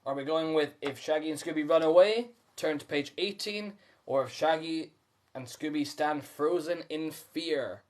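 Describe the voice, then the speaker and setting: A man's voice: fairly high pitch, moderate pace. Man hosting an interactive storytime. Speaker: storytime host and reader. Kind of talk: live storybook reading with chatty asides.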